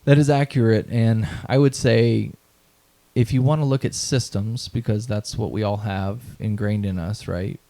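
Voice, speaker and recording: A man's voice: on the low side, steady tone, normal speed, creaky, dark tone. A man in his thirties being interviewed, talking earnestly about his mission and values, often checking in with "right?". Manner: earnest, motivational, repeats phrases, tags "right?". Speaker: event founder, guest. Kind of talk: conversational interview.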